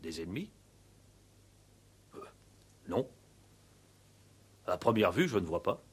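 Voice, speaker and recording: Bewildered pompous mayor, husky and animated. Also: male voice, sits low, slow pace, full character voice. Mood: hesitant. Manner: hesitant, effusive, self-correcting. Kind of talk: 1960s French radio play acting. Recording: old 1960s radio play recording.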